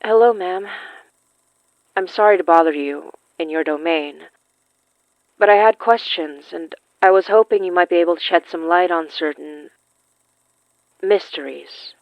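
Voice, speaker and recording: An actress playing a young woman with magic in a horror audio drama, polite and quietly determined, speaking in a hesitant, dryly teasing way. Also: on the low side, a little slow, pauses often, no echo. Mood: polite, searching. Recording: slightly dull, dry studio sound.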